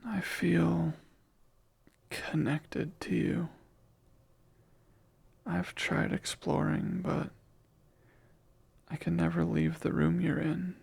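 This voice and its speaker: A man voicing a lonely, clingy male sleep paralysis demon in an ASMR roleplay, speaking in a soft, breathy whisper with slow, wistful musing. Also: a little high, flat delivery, exaggerated voice. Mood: tender.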